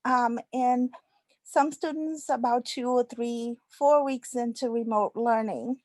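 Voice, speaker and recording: A woman teacher tells a story about her students in a slightly high voice. The sound is dry and close, with no room echo. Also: slow, darkish tone. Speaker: high school history teacher. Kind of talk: online panel talk.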